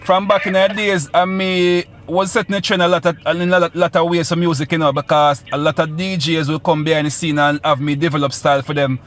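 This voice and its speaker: A man's voice: on the high side, level tone, fairly quick, few pauses, fairly bright tone. A man with a Jamaican accent, a guest musician chatting in a radio interview, boastful and rambling with patois touches.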